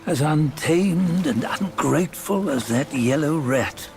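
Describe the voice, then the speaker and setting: An actor playing a stern, world-weary old ferryman in a video game, speaking in a measured, formal, disdainful way. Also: a little high, expressive, darkish tone, faint music behind. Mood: scornful. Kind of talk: video game cutscene acting, solemn storytelling.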